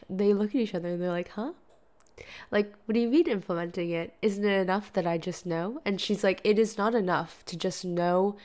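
A young woman hosting a solo podcast, talking casually with a stuffy nose and sore throat, filling her talk with "like" and "you know". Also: on the low side. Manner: chatty, lots of "like" and "you know". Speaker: podcast host.

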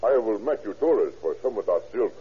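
An actor playing a stammering, sly scientist who turns to murder, in an old radio drama. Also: male voice, fairly low pitch, animated, fairly quick, husky. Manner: stammering, sly, with menacing chuckles. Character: sinister German scientist, middle-aged man, murderous. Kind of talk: 1940s radio acting. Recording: dull old radio recording.

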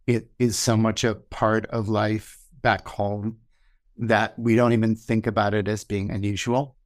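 Male podcast guest from Hawaii, low and even-toned, dry close recording, relaxed storyteller. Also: no echo. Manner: conversational, measured, with occasional ums. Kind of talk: personal storytelling.

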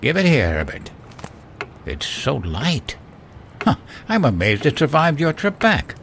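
A man's voice: on the high side, wildly swooping pitch, a little slow, fairly bright tone, a little hiss or hum, full character voice. An actor playing a curious, stubborn, genial family father in a horror audio drama, musing and hesitant.

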